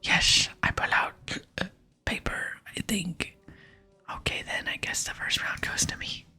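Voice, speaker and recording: A woman's voice: measured pace, bright, forceful tone, soft background music. A woman voicing a cocky, beer-loving male explosives expert with a casual, sly manner in an ASMR game reading. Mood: muddled, casual.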